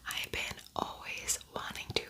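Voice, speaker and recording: Slow, whispering woman doing ASMR. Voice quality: soft breathy whisper. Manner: slow, lingering, repeats words. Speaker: ASMR host doing a makeup tutorial.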